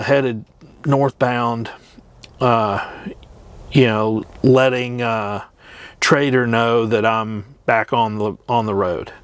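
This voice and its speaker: A middle-aged man talking in a casual, rambling vlog style, plain-spoken and hesitant, with trailing pauses. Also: medium pitch, no echo. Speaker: truck driver and vlogger. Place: truck cab.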